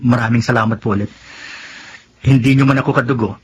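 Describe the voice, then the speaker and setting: An actor playing a humble, reformed young Filipino godson in a radio drama, respectful and hesitant. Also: male voice, mid-range voice, fairly even intonation, fast talker, slight background noise, close to an everyday voice, rough recording. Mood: contrite, grateful.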